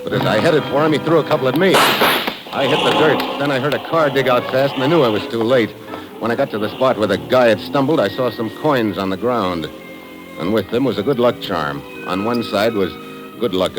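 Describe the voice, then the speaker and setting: A hard-boiled American sleuth played by a male actor speaks low, terse and dry. His wry delivery suits 1950s radio adventure. Music plays behind him. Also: natural voice, poor audio. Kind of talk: 1950s radio acting, first-person narration and dialogue.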